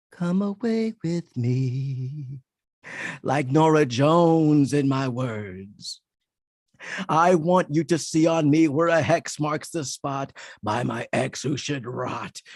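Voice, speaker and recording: A man's voice: on the high side, no room sound, studio quality. A man performing spoken word poetry as a poet, with an emphatic, rhythmic and dramatic delivery.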